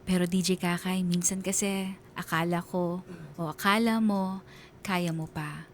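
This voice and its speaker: A woman's voice: fairly low pitch, fairly even intonation, measured pace, a little hiss or hum, lightly acted. A woman narrating as a troubled letter writer on a radio drama, heartfelt and confiding, with a Filipino accent. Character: troubled, disheartened female letter writer telling her story.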